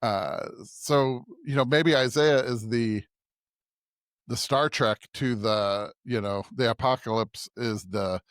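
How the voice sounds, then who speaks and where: Casual male podcaster, middle pitch, halting delivery with repeated words, dry close recording. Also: a bit bright, no room sound. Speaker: podcast host. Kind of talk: casual discussion.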